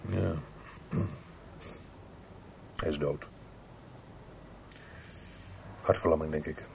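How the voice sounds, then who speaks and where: A man's voice: very deep voice, fairly even intonation, slow, gravelly, a little mellow, a little hiss or hum, exaggerated voice. An actor playing a dismissive, evasive man who brusquely placates his partner in a radio play. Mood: grim, hushed. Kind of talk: Dutch radio play acting.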